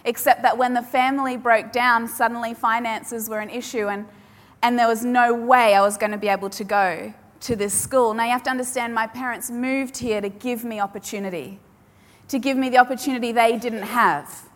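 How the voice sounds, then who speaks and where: Woman, preacher, native Polish speaker, emphatic, dramatic pauses, fairly high voice, long reverb of a large hall. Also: fairly even intonation. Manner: emphatic, repeats key phrases with dramatic pauses. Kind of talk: sermon.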